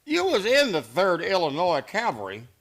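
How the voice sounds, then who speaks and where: A man's voice: high-pitched, wildly swooping pitch, normal speed, a bit bright, full character voice. A man playing a hard, grudge-bearing cowboy henchman in a Western radio play, with scornful, folksy American speech. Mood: hostile.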